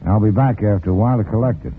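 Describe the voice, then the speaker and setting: A low hardboiled gangster, cynical n mocking, old tinny radio drama. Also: male voice, racing, slightly rough. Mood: tough, mocking. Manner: hardboiled, clipped, sardonic. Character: cool, cynical tough-guy gangster, sardonic. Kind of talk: 1940s radio noir crime drama acting. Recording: muffled narrow-band old radio, faint noise.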